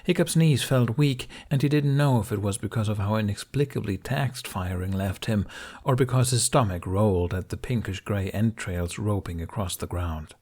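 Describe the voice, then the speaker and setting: A man's voice: lowish voice, normal speed, a little mellow. A man reading an audiobook of fantasy fiction as a dramatic, intense narrator.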